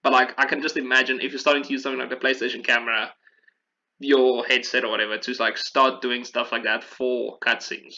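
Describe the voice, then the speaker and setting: A man's voice: medium pitch, flat delivery, a little fast, sharp tone, highs cut off. A man chatting casually as a podcast host, rambling and correcting himself as he talks. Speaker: podcast host discussing video games. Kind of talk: casual chat.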